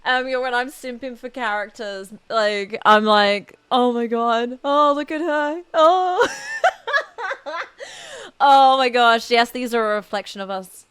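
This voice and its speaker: A woman's voice: a little high, measured pace, fairly bright tone, smooth, dry recording. A young woman streaming a game with casual live commentary, gushing, exclaiming and giggly in manner.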